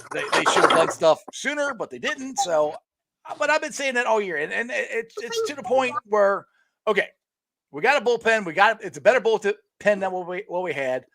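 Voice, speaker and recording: Animated middle-aged man, lively rambling host. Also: high voice, brisk, vocal fry, dry recording. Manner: rambling, animated storytelling with frequent restarts and laughs. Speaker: podcast host. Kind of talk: casual sports chat.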